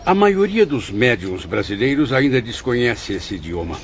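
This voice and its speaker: A man's voice: mid-range voice, sing-song, moderate pace, a little hiss or hum. An actor playing the spirit of a male writer, an awed newcomer who speaks earnestly and formally in an audio drama. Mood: earnest, curious. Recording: dull lo-fi audio, faint music.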